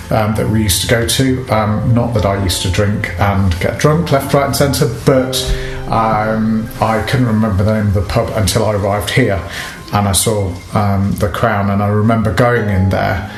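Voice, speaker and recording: A man's voice: lowish voice, fairly even intonation, moderate pace. A man, recalling his past in an interview. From Nottingham, England. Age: 40s. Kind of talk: reflective interview recollection. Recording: echoey room. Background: guitar music.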